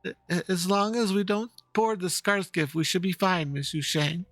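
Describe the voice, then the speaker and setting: A man's voice: sits high, moderate pace, a bit bright, no echo, pitched above the performer's own voice. A man voicing a timid, trembling young ghost hunter who stammers in a video game. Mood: scared, stammering. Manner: stammering, hesitant.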